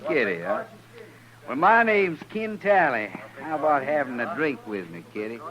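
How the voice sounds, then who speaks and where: Brash, taunting cattle trail boss. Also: male voice, mid-range voice, very animated, normal speed, fairly bright tone, poor audio. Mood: pushy, leering. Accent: American. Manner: taunting, pushy. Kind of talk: 1950s radio western acting. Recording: old radio recording, saloon crowd behind.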